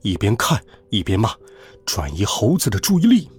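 A man voicing a small, earnest father figure who speaks sternly and righteously, sometimes in a low whisper. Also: huge pitch swings, moderate pace, breathy edge, heavily acted. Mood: hushed. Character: earnest tiny father hiding in a pocket.

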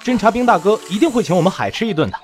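Quick, earnest young adventurer. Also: male voice, sits high, sing-song, over loud music. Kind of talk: Chinese audio drama acting.

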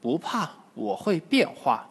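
A man's voice: high voice, very animated, slow pace, vocal fry, husky, full character voice. A man voicing a scheming female bone demon with a sly, sneering manner. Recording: long reverb.

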